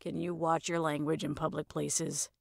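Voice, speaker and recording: A woman's voice: low voice, flat delivery, moderate pace, creaky, dry recording, voice pushed down. A woman puts on a young husband in a comic audio story. He sounds exasperated and reproving, a weary scold. The recording is very dry.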